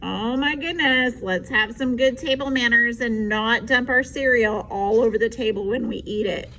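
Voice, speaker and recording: A woman teacher reading a storybook aloud with simple, emphatic explanations and an expressive delivery. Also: fairly high pitch, normal speed, poor audio.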